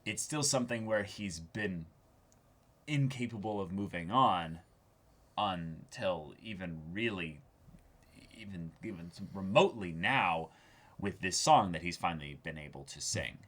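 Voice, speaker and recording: A man's voice: low-pitched, animated, unhurried, a bit bright. A man co-hosting a reaction show, talking earnestly and effusively in casual discussion, often repeating words as he searches for the next one.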